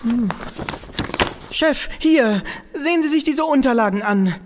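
A woman's voice: high voice, animated, a little slow, noisy background. A woman playing an anxious, earnest office colleague in a comic audio drama, speaking with dramatic urgency. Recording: long hall reverb.

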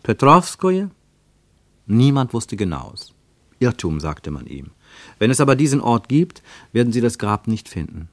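A man narrating a literary German radio play as a reflective storyteller, with measured, lyrical phrasing. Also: mid-range voice, sing-song, dry recording. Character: reflective male narrator of a literary travel account.

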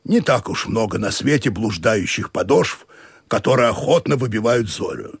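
An actor playing a gruff, commanding, wry ship's captain in a comic radio play, with a husky, creaky voice. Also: male voice, fairly low pitch, expressive, moderate pace, no room sound, clean studio sound. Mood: wry, magisterial. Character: gruff, commanding old-style ship's captain, wryly philosophical.

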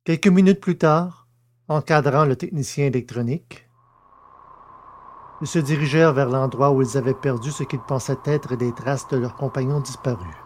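A man hosting a podcast and narrating a science fiction audio novel, in a clear, even storyteller's reading. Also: mid-range voice, normal speed.

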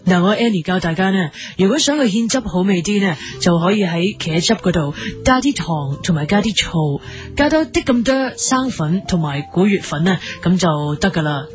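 A woman playing a matter-of-fact TV cookery teacher in a comedy parody, explaining step by step in deadpan Hong Kong Cantonese. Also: on the low side, moderate pace, faint music behind, natural voice.

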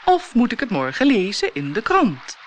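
A woman's voice: fairly high pitch, wildly swooping pitch, moderate pace, a little hiss or hum, voice pushed up. A woman voicing a kindly, playful old male sea turtle who speaks in singsong rhyme for a children's storybook. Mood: jovial, consoling. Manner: singsong rhyming delivery.

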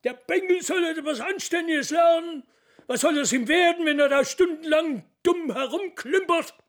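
An actor playing a stern, domineering father in a radio play, loud and indignant. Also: extremely high pitch, moderate pace. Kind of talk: satirical radio play acting.

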